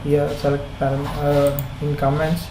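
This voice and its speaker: Indian guy walking thru software steps, kinda halting, monotone, noisy background. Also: a little high. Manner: halting, repetitive instructions. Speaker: software instructor. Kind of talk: step-by-step screen tutorial narration. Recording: noisy, echoey room recording.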